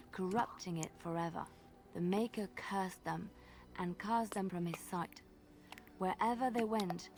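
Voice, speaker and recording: An actress playing a devout bard in a fantasy video game, telling legends in a measured, solemn way. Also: female voice, on the low side, background noise, natural voice. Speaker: game character, storyteller.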